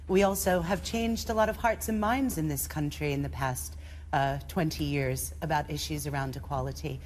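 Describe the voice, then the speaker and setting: Low-voiced woman panelist, positive and articulate. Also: darkish tone, a little hiss or hum. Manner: upbeat, affirming and articulate. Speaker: debate panelist. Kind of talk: studio debate answer.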